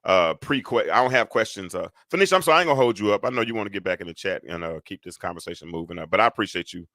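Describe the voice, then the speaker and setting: A man's voice: sits low, lively intonation, very fast, a bit bright, no echo, clean studio sound. A man hosting a casual live talk, rambling with lots of fillers and restarts. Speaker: host.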